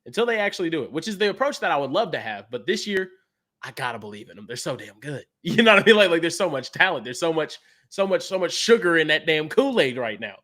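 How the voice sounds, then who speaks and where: A man with an East Texas accent talks sports in a casual, earnest way and laughs easily. His voice sits a little higher than most men's. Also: sing-song, quick pace, fairly bright tone.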